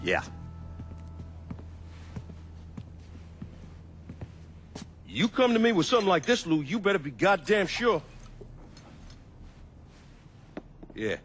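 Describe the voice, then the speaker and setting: Over music, an aging mob patriarch, gruff and bitter. His fairly high voice roams widely in pitch as he lectures, profane and worn down, in a cinematic game style. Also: wildly swooping pitch, fast, fairly bright tone, heavily acted. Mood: grave. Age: older. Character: weary, bitter old crime boss and father. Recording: music behind the voice.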